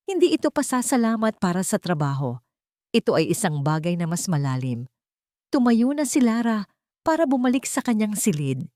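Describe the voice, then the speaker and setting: A woman with a Filipino accent narrating a scripted romantic drama in an expressive, emotive storytelling manner. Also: on the low side, no echo, studio quality.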